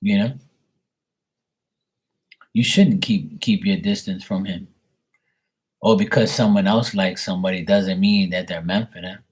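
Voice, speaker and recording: A man with a husky voice talking casually on a live tarot reading stream, blunt and opinionated, rambling and swearing freely. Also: low-pitched, steady tone, a little slow, pauses often, a little mellow.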